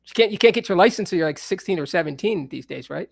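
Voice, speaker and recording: Man, high voice, creaky fry, dry close sound, casual playful chat. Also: animated, fast talker, no echo. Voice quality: high speaking voice.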